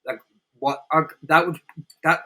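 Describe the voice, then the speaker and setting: A man's voice: fairly high pitch, fairly even intonation, measured pace, bright, forceful tone. A man co-hosting a casual, opinionated film review chat, speaking in a blunt, sweary, exaggerating way. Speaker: co-host of a movie review show.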